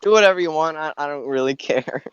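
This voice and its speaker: A man chatting casually on a podcast, halting and often repeating himself. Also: fairly high pitch, clear, resonant tone, dry recording, muffled recording. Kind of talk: casual chat.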